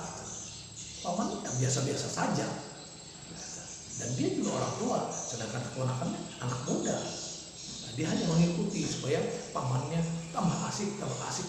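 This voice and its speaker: A man telling a folk legend in a halting, repetitive oral storytelling manner. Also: a little high. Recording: echoey room with loud background noise.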